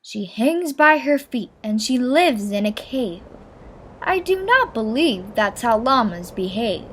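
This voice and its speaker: A woman's voice: fairly high pitch, big pitch swings, takes it slowly, fairly bright tone, breathy edge, voice pushed down, heavily acted. A woman voicing polite young picture-book animals who patiently and emphatically explain things.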